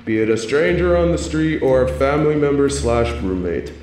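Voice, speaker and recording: A man's voice: medium pitch, lively intonation, a little mellow, a little hiss or hum, lightly acted. Echoey theatrical supervillain man acting. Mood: proud, playful. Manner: theatrical, teasing, drawn-out emphasis. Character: theatrical male supervillain, playful, sinister, gloating scientist. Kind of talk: scripted audio roleplay villain monologue.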